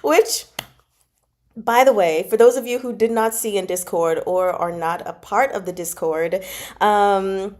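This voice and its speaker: Woman, casual livestream host, informal, animated, giggly, mid-pitched voice. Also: unhurried. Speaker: host of a book club channel and amateur audiobook narrator. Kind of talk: casual livestream chat, reading out and answering comments.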